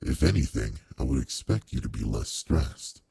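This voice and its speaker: Man voicing a very deep, gravelly demon lord. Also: big pitch swings, full character voice. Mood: thoughtful, caring. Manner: measured, formal, authoritative with soft concern. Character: commanding male demon lord, old-fashioned, courteous, quietly caring boss. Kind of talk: roleplay audio drama, intimate one-to-one address.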